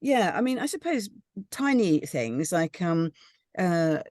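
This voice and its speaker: A woman's voice: fairly low pitch, sing-song, takes it slowly, darkish tone, dry recording, studio quality. A woman chatting in a rambling, tentative but enthusiastic way about a script in a group discussion. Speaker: script reading panellist.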